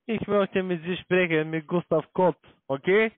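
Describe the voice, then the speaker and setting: A man's voice: high voice, fairly even intonation, a little slow, dry recording. A man who is not a native German speaker, making a blunt sales call in broken grammar. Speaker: call-centre account manager. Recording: narrow tinny phone line.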